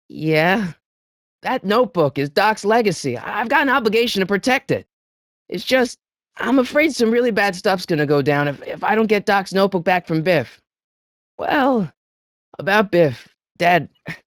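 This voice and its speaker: A man's voice: a bit bright, dry recording, clean studio sound, exaggerated voice. A young man with an American accent voicing an earnest, nervous teenage boy in a video game, youthful in tone and hesitant, slangy in delivery. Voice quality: youthful, high-pitched for a man. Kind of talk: video game character dialogue.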